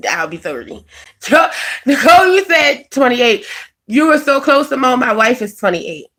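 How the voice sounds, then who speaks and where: A woman's voice: high voice, sing-song, unhurried, a bit bright. A woman, chatting casually on a live stream, answering viewers by name and laughing often. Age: 20s. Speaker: vlogger reading live chat.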